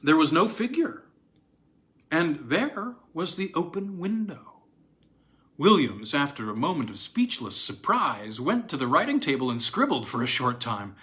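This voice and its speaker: Bright, animated male story reader. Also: fairly high pitch, unhurried. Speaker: narrator, reader. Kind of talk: expressive literary story reading.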